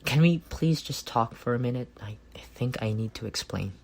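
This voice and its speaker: A man acting a reserved, awkward and earnest best friend in an intimate roleplay, speaking softly and haltingly. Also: mid-range voice, expressive, gravelly. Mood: worried, pleading. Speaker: voice actor.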